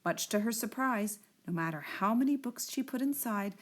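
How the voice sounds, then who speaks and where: A woman reading a picture book aloud to children in an expressive storytelling style. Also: on the high side, moderate pace.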